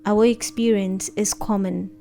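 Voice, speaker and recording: A woman narrating a guided meditation in a gentle, soothing, unhurried manner. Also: mid-range voice, fairly even intonation, gravelly. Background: background music.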